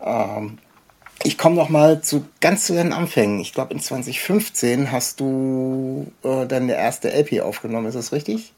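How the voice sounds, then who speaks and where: Husky-voiced male podcast host, halting. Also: mid-range voice, a little mellow. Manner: halting, rambling. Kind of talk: casual interview.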